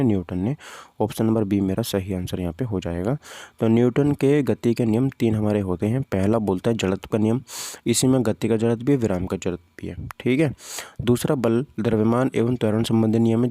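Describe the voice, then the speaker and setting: Casting: male tutor, low dark voice, informal and repetitive, quizzing students through exam questions. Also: dry recording. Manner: conversational, repeats points, poses questions to students. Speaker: physics teacher. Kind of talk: informal exam-prep lesson, walking through questions and answers.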